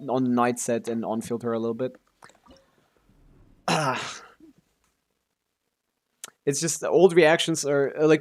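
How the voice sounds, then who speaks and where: Man rambling casually on a game stream. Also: medium pitch.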